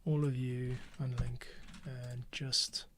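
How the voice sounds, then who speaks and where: A man's voice: medium pitch, level tone, takes it slowly, fairly bright tone, slight background noise. A man hosting a podcast, thinking aloud to himself as he edits audio and correcting his own mistakes. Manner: muttering to himself, self-correcting. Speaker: podcast host editing his show. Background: music playing.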